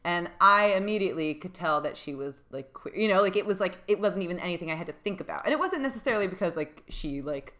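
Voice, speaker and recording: A young woman with a deep voice in casual chat, rambling with many fillers and frequent laughs. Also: animated, fast, few pauses, a bit bright.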